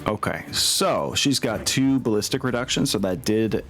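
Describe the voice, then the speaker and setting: Low, animated male game master over music. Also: moderate pace. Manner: swears casually, breaks into exclamations. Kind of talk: narrating a tabletop war game with casual asides. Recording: music playing behind the voice.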